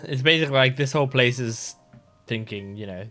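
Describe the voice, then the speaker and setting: A man's voice: mid-range voice, fairly quick, sharp tone. A man from Australia giving casual, joking reaction commentary over a video game, sometimes reading text aloud. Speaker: gaming streamer. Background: faint game music.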